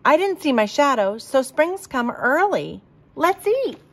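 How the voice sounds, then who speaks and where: Woman voicing an eager, high-pitched cheeky groundhog. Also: unhurried, slight background noise, full character voice. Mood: gleeful shouting. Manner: excited, exclaiming. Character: eager, cheeky young male groundhog trickster.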